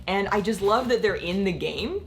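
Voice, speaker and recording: Chatty, slightly croaky woman vlogger. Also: mid-range voice, animated, moderate pace. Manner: chatty, rambling, many "like" fillers, laughs often. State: slightly croaky, losing her voice. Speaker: gaming content creator. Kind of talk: casual vlog chat.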